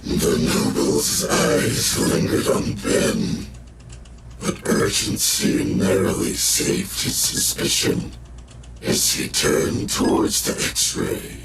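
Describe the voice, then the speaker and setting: A man narrating a dark sci-fi audio drama in a brooding, deliberate and dramatic style. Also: heavy background noise, close to an everyday voice. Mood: ominous, deliberate. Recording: loud music behind the voice. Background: loud music.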